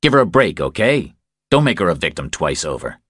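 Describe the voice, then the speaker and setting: A man's voice: deep voice, big pitch swings, a little fast, fairly bright tone, breathy edge, no room sound, clean studio sound, heavily acted. A man voicing a blunt, terse former military policeman in an audiobook, speaking with urgent insistence. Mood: urgent, insistent.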